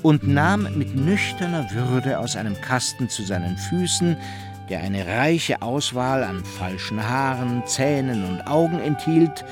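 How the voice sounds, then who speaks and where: A man's voice: mid-range voice, animated, unhurried, music in the background. A man reading scripted literary narration as the storyteller of a German radio drama.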